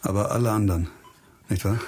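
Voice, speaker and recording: An actor playing a grim, hard-bitten police investigator in a radio crime drama, speaking insistently and probingly, with music behind him. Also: male voice, on the low side, fairly even intonation, quick pace, darkish tone, natural voice.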